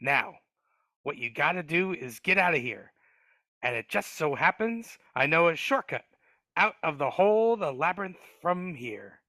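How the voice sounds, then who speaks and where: A man's voice: fairly high pitch, lively intonation, normal speed, bright, forceful tone, dry recording, studio quality. A man voicing a sly, grumbling male dwarf in a live video-call table read, hesitant and wheedling, stammering often. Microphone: video call mic.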